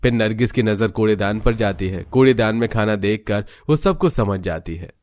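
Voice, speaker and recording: Low-voiced man narrating a story. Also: moderate pace, darkish tone, dry recording. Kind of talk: scripted cartoon story narration.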